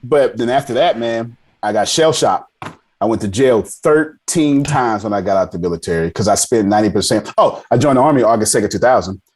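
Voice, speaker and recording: A man's voice: lowish voice, animated, brisk, darkish tone. A man around forty telling his story casually as an interview guest, self-deprecating and emphatic, repeating phrases for effect. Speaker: podcast guest, army veteran. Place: indoors on a video call.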